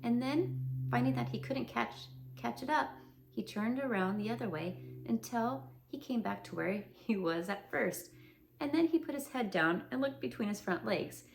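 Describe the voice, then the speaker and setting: A woman's voice: on the high side, animated, normal speed, creaky, a little hiss or hum, rough recording. A woman reading a children's storybook aloud, adding chatty asides to her listeners. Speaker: storybook reader. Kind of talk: children's read-aloud narration.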